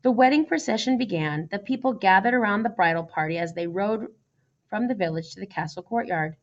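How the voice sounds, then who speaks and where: A woman's voice: mid-range voice, animated, fairly quick, highs cut off. A woman reading a children's story aloud as its narrator. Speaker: storybook reader.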